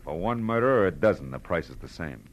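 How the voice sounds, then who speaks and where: An actor with an American accent playing a shrewd, clipped, wry private detective in 1940s radio style. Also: male voice, deep voice, animated, fast, highs cut off. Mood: accusing. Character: hard-boiled male private detective, brisk, shrewd and sure. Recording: old radio drama recording, music behind.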